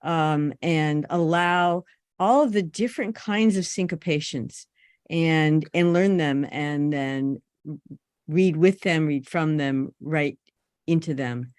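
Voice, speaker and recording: Lowish-voiced woman, hesitant and reflective. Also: slow pace, a little mellow, clear, resonant tone, no echo, clean studio sound. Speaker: poet on a panel. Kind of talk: conversational panel remarks. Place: online video call.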